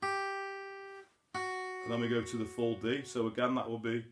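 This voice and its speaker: A man's voice: medium pitch, level tone, slow. Casual male guitar teacher, roomy sound. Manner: chatty, informal, explains step by step. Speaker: guitar teacher, host of an online lesson series. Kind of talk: casual spoken guitar tutorial. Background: acoustic guitar.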